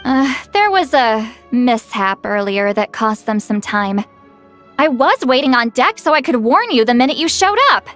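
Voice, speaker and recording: A woman's voice: fairly high pitch, animated, normal speed, a bit bright, faint music behind. A woman voicing an anxious, helpful young woman with a flustered, hesitant manner in a video game. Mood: worried, urgent.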